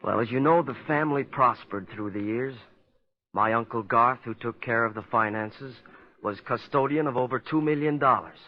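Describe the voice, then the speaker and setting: Male actor as troubled family man, dramatic deliberate storytelling, mid-range voice, 1940s radio acting, dull recording with music. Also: mid-range voice, close to an everyday voice. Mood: grave, recounting. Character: haunted clansman recounting his family's deadly curse.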